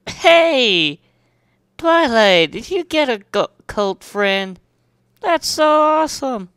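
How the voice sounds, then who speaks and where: Story reader acting cartoon pegasus girl, high-pitched, loud, brash, excitable, blunt. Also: slow, clear, resonant tone, dry recording, full character voice. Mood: excited, alarmed.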